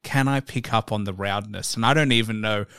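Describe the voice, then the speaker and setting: Smooth-voiced man, musing aloud. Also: on the low side, fairly quick, a bit bright, no echo, clean studio sound. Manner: musing, rambling, thinks aloud. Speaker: podcast host. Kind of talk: casual interview conversation.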